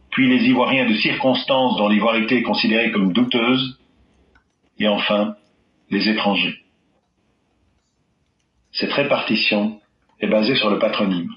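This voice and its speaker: A man giving measured, formal documentary narration. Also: on the low side. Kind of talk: scripted narration. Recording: dull roomy archive audio.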